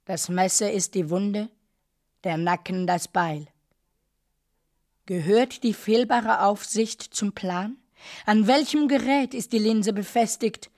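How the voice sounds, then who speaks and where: Radio drama: actress plays grave female narrator, mid-range, deliberate and drawn-out phrasing. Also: medium pitch, darkish tone, natural voice. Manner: deliberate, measured, with weighted pauses. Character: solemn female narrator pondering a violent, dreamlike image. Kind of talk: slow literary monologue in a radio drama.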